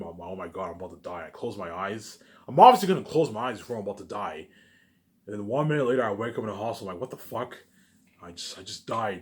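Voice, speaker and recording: A man talking casually in a rambling, repetitive monologue, swearing often and swinging between loud emphatic bursts and quieter asides. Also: deep voice. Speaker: vlogger.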